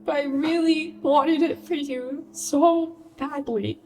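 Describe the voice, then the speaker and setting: Woman acting angry wounded bride, very high voice, emphatic, sweary, naturalistic radio drama, soft music behind. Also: fairly even intonation, takes it slowly. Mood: wounded, bitter. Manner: scolding, emphatic, profane, pleading. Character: hurt, furious bride confronting her famous sister.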